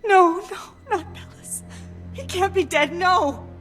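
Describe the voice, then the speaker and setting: An actress playing an indignant, emotional wife in a video game, scolding and dramatic. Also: female voice, very high-pitched, slow, a bit bright, faint music behind, exaggerated voice. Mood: grief-stricken. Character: indignant, distraught wife of a secret agent. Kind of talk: video game cutscene acting.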